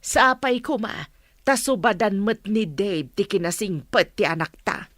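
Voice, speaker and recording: A woman's voice: mid-range voice, expressive, measured pace, fairly bright tone, dry recording, clean studio sound. A woman with an Ilocano accent playing a concerned, reproachful village mother in a radio drama.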